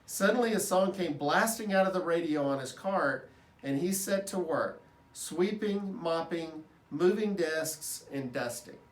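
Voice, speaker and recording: A man giving an expressive reading of a children's story. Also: fairly high pitch, measured pace. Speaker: storybook reader at an elementary school. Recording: roomy echo, distant microphone.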